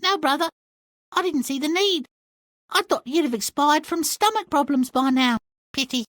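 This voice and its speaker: A bitter, contemptuous young heroine from a dark comic game, acted by a man. The voice is high-pitched and dry in sound. The delivery is deadpan, articulate and sardonic. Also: moderate pace, no room sound. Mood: cold contempt. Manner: deadpan, acid, articulate insults. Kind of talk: dark comic video game cutscene acting.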